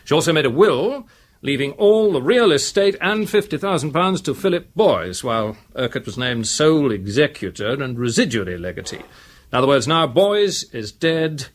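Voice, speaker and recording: An actor playing an orderly English police inspector in a British radio drama, summing up in a precise, formal and measured manner. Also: male voice, medium pitch, very animated. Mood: methodical, earnest.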